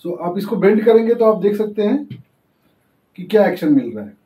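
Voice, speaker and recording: A young man teaching fishing as he shows the gear, switching easily to English terms. His voice sits high but sounds mellow and soft-edged. He is recorded from some distance in an echoey space. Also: big pitch swings, brisk. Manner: explains while demonstrating, mixing in English terms. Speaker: fishing channel host. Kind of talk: casual tutorial demonstration.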